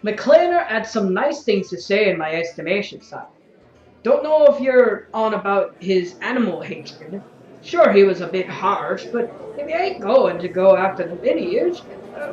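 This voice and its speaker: A woman's voice: mid-range voice, very animated, moderate pace, a little mellow, natural voice. A woman playing a cheeky, outspoken revolutionary councillor in an audio drama, talking in a folksy, teasing, colloquial way. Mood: defiant. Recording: echoey, dull lo-fi recording with music.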